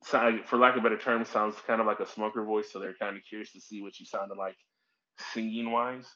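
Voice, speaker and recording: A man's voice: on the low side, little pitch movement, brisk, bright, forceful tone. A man hosting a podcast with a Midwestern American accent, talking casually and rambling a little with many fillers. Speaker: podcast host. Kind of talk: casual conversation. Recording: dull lo-fi audio.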